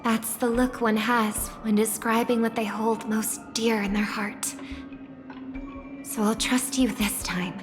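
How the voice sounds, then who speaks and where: An actress voicing a poised, graceful young singer in a video game, speaking in a measured, formal and polite way. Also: female voice, on the high side, steady tone. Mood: gentle. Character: poised, graceful young female singer, gentle but discerning. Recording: music behind the voice.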